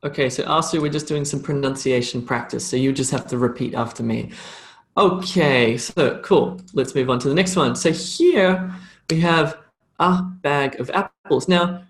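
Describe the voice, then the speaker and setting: A man with an Australian accent teaching English pronunciation on a live online lesson, drilling phrases for the learner to repeat and slowing them down. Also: a little high.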